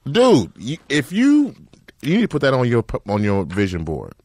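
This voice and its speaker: A man hosting a casual comedy podcast, animated and joking, swearing freely. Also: mid-range voice, normal speed, dry recording. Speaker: podcast host.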